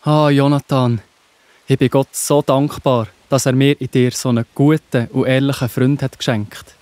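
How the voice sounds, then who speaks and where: A man's voice: mid-range voice, little pitch movement, normal speed, a little mellow, no echo, natural voice. A man with a Swiss German accent playing a devout, faithful young friend in a children's Bible audio drama, speaking with heartfelt earnestness. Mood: earnest, grateful.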